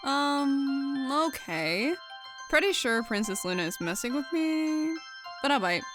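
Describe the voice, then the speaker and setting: A woman's voice: high voice, big pitch swings, takes it slowly, heavily acted. A woman voicing a skeptical, sarcastic young female pegasus in an audio fan fiction story. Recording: music behind the voice.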